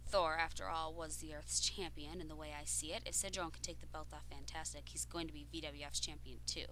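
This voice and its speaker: A woman's voice: low-pitched, bright, forceful tone, a little hiss or hum, low-quality recording. Give me a scornful, brash woman trash-talking rivals. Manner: scornful and brash, swears freely. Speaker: role-player giving an in-character wrestling promo. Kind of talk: audio role-play monologue, trash talk.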